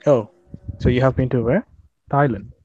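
A young man from Sri Lanka chatting casually, relaxed and hesitant with many fillers and laughs. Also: medium pitch, normal speed, slightly rough. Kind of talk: casual chat. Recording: dull lo-fi online call audio with faint noise.

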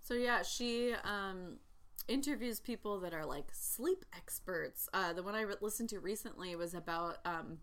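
A woman's voice: medium pitch, normal speed, a bit bright. A young woman co-hosting a casual podcast chat, rambling with lots of ums and likes. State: pregnant. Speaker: podcast co-host.

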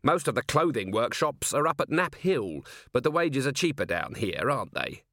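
A man voicing a gruff, short-tempered police commander in an audiobook, curt, menacing and sardonic. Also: medium pitch, very animated, normal speed, fairly bright tone, dry recording, clean studio sound. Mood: mild, sardonic.

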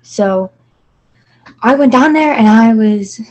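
A woman's voice: a little high, takes it slowly, a little mellow, highs cut off. Young woman from Virginia, chatty student, filler-heavy. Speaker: student guest. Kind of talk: casual chat.

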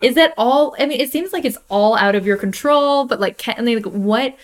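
A woman's voice: a little high, moderate pace. Curious woman host asking rambling questions. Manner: curious, rambling questions with many "like", laughs along. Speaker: podcast host. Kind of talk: casual interview chat.

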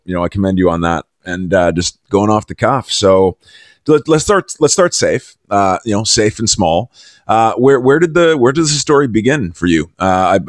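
A man hosting a podcast interview in a casual, chummy and somewhat halting conversational way. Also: low-pitched, dry recording, studio quality. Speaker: podcast host.

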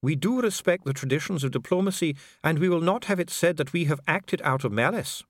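A man voicing a calm, coldly polite dwarf official. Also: on the high side, dry recording, studio quality, pitched above the performer's own voice. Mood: coolly polite. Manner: measured, bureaucratic, smoothly courteous. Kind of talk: audiobook character voice.

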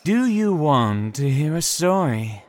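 A man's voice: fairly high pitch, sing-song, slow. A man acting in an audio drama as a mysterious, insistent newcomer, with formal, teasing storyteller phrasing. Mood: knowing, storytelling. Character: mysterious, courteous, insistent man new in town.

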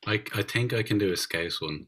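A man co-hosting a podcast, cracking jokes and quick quips in casual chat. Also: lowish voice, a bit bright, a little hiss or hum. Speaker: podcast co-host. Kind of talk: casual banter.

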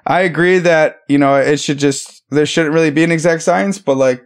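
A man hosting a podcast, talking in a relaxed, rambling, easygoing way and swearing casually. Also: a little high, normal speed. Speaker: podcast host. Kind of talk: casual chat.

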